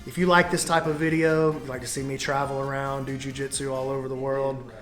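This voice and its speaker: A man's voice: mid-range voice, little pitch movement, brisk, a bit bright, soft background music. A man talking in an informal, slangy way, as a vlogger and coach chatting casually to camera and companions. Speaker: vlogger and jiu-jitsu coach. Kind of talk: casual vlog chat.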